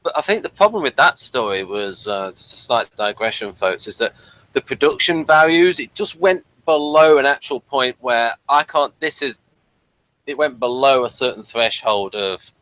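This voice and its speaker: A man's voice: medium pitch, expressive, normal speed, a bit bright, low-quality recording. A man hosting a review show in a casual, conversational style. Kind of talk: casual chat.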